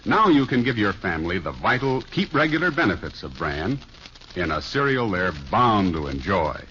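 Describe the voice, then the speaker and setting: A man's voice: on the low side, very animated, normal speed, sharp tone. A man reading a scripted commercial as an old-time radio announcer. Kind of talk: 1950s radio advertising read. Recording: dull old radio recording, faint noise.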